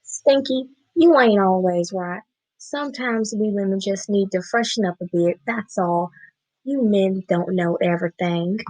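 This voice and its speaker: A woman's voice: medium pitch, a little slow, darkish tone, close to an everyday voice. A sassy gangster's girlfriend who talks back, acted by a young woman. Her voice is mid-range with little echo, in a radio play style. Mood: defiant. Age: teenager. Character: defiant, sassy gangster's moll. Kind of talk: stage radio play acting.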